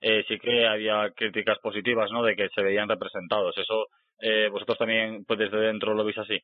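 A man's voice: lowish voice, flat delivery, brisk, no room sound, phone-quality sound. A man interviewing someone in a casual, conversational way.

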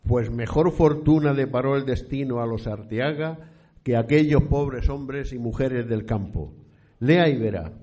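An actor playing a pious, stately eighteenth-century Spanish landowner in a radio play, speaking in a solemn, formal and courteous old-fashioned way. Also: male voice, medium pitch, mellow. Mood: earnest, proud. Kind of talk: scripted historical radio play. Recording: dull, muffled lo-fi recording.

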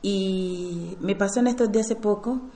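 Woman in an interview, soft warm voice, keeps repeating herself as she thinks it over. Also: mid-range voice, normal speed. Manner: reflective, circling back on the same phrases. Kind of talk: interview testimony.

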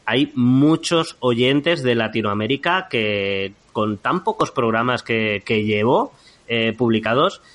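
A man hosting a podcast who jokes easily with his guest and fills the pauses with short murmurs of agreement. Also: mid-range voice, animated, a bit bright. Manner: jokey, frequent short backchannels. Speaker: podcast host. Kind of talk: casual interview chat.

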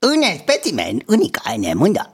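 A man's voice: on the high side, wildly swooping pitch, fairly quick, husky, exaggerated voice. A man playing a shady, theatrical keeper of an exotic antiques shop in a parody radio drama. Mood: solemn warning. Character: shady exotic antiques shopkeeper, solemn, easily excited.

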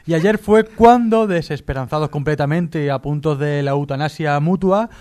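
A man's voice: on the high side, moderate pace, darkish tone. A man co-hosting a comic radio chat, joking along in a mock-serious way. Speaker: radio show co-host.

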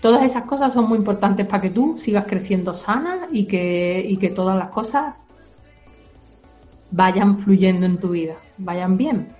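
An adult woman gently explains ideas to a child, using simple comparisons and reassurance. Her voice has a middle pitch. The sound is slightly dull, with soft music faintly behind. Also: lively intonation, unhurried.

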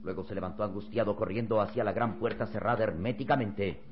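A man's voice: fairly low pitch, fast talker, slight background noise. A man narrating a radio serial in an emphatic, theatrical style full of suspense. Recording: dull old radio recording with music.

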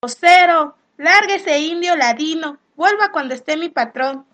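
A woman playing a sassy, blunt housemaid in a radio soap, cheeky and colloquial, quick to talk back. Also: extremely high pitch, a bit bright, muffled recording. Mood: blunt, suspicious. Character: sassy, blunt housemaid, grumbling about hard work. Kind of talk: Spanish-language radio soap acting.

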